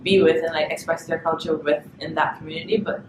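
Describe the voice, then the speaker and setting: A woman's voice: sits low, fairly even intonation, fast. Chatty woman, echoey room. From Barbados. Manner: chatty, fills speech with "like". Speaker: international student. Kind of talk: documentary interview. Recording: echoey room with clear noise.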